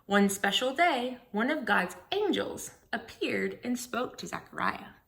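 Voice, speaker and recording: A woman's voice: fairly high pitch, lively intonation, measured pace, gravelly, a bit bright. A woman reading a Bible story aloud for young children, explaining things simply and putting questions to her young listeners.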